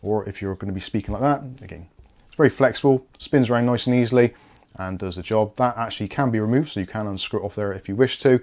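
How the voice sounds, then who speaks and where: A man's voice: on the low side, quick pace, darkish tone. A British man giving a casual product review and unboxing, explaining things in a chatty, easygoing way.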